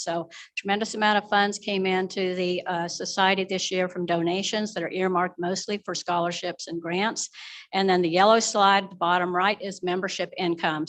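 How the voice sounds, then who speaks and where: A woman's voice: lowish voice, fairly bright tone. Woman presenting a finance report. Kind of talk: prepared financial presentation to members' meeting. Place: live members' meeting.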